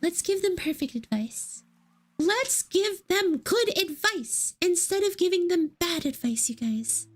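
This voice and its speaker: A woman's voice: very high-pitched, very animated, unhurried. A woman streaming as a VTuber, chatting casually and answering would-you-rather questions. She talks in a playful, giggly way and teases her chat.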